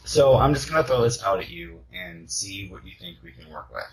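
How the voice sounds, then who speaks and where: A man's voice: mid-range voice, animated, a little fast, poor audio. A man leaving a casual voice message, talking in a rambling, dreamy and candid way.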